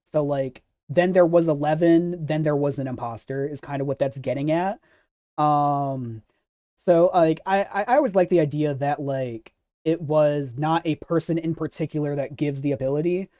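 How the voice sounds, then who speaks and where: A streamer chats casually through fan theories in a soft-edged, mellow voice of medium pitch. The delivery is hesitant, with words repeated as thoughts form. The sound is very dry, with no room around the voice. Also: moderate pace, no room sound. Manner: hesitant, stammering repetition of words while thinking aloud. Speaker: host of a manga discussion stream. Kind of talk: casual theory chat, responding to live comments.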